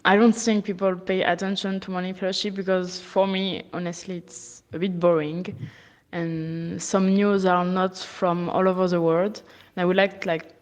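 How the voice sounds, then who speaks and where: A woman's voice: lowish voice, little pitch movement, normal speed, darkish tone. Hesitant woman in a reverberant room. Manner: hesitant, many fillers. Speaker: interviewed student. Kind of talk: casual spoken opinion. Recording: long reverb, roomy.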